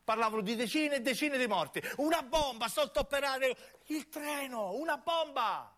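Actor as frantic high-voiced southern Italian young man. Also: expressive, moderate pace, a bit bright. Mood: urgent. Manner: breathless, urgent, repetitive exclamations. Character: agitated young man bursting in with alarming news.

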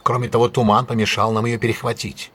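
An actor playing a self-assured gentleman detective in an audio drama, decisive, courteous and authoritative. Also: medium pitch, little pitch movement, fast, husky. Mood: composed, apologetic. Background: music.

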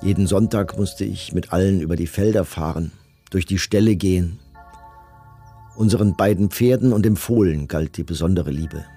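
A man playing a Nazi camp commandant in a documentary radio drama, giving testimony in a measured, matter-of-fact way. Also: low voice, warm tone, husky, faint music behind. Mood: self-justifying. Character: male Nazi camp commandant coldly recounting his service.

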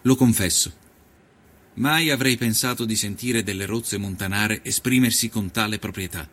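A man playing an educated, condescending gentleman interviewer in a period radio drama, speaking formally and in a measured way. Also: lowish voice. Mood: formal, surprised.

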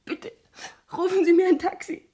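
A woman's voice: very high voice, flat delivery, normal speed, dark tone. An actress playing a troubled, conflicted young woman, polite, hesitant and earnest, in a 1970s German radio crime drama. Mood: distressed.

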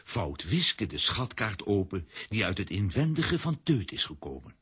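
A man acting as the earnest narrator of a children's audio drama, with a husky, rough voice. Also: fairly low pitch, sing-song, measured pace, fairly bright tone, exaggerated voice. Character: grown male storyteller, earnest.

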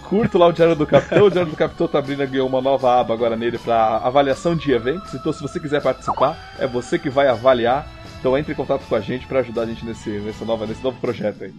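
A man's voice: medium pitch, rapid-fire, few pauses, darkish tone, faint music behind. A man chatting casually and jokingly as a podcast guest. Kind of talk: casual chat.

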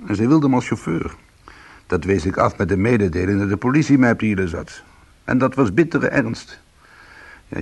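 A man's voice: medium pitch, creaky, husky. A man playing a bitter, sardonic small-time ex-convict in a Dutch radio play, protective and combative. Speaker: lead actor and first-person narrator.

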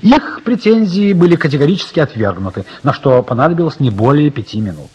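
A man's voice: a little high, very animated, brisk, a little mellow, slightly rough, dull audio. A man giving scripted, dramatic literary narration for a radio play.

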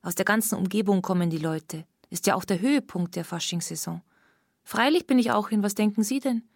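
A gossipy Bavarian woman played by a woman gives her account in a radio drama. She is forthright and chatty, at a middling pitch, recorded dry with no room sound. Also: a little fast, no echo, natural voice. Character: chatty, brisk Bavarian woman recounting as a witness.

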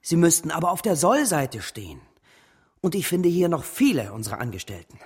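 Principled, husky-voiced, cautious businessman. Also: a little high, very animated, full character voice. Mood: alarmed, firm. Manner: earnest, measured objecting. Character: cautious, principled male business partner troubled by speculation. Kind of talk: German radio drama acting.

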